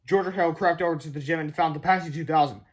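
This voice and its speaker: A man reads a children's book aloud. His voice is clearly high for a man, and the delivery is scripted storybook narration. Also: steady tone, rapid-fire. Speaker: storybook reader.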